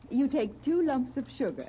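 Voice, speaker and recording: Coy lady neighbor, tinny old radio. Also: sits high. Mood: wistful. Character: hospitable, coyly wistful lady neighbor. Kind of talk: 1930s radio serial acting. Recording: crackly, tinny 1939 radio disc recording.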